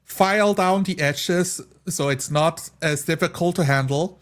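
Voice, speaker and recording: A man's voice: on the high side, a little slow, a bit bright. A man talking casually in a group discussion as a tabletop role-playing player.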